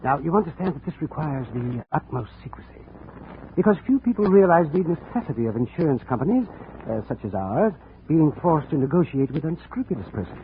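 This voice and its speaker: Fussy executive, highish animated voice, pompous, hesitant, old radio drama, tinny sound. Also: male voice, a little fast, a little hiss or hum. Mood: anxious, pompous. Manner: hesitant, formal, long-winded. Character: fussy, pompous, nervous male insurance executive. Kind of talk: 1950s radio acting.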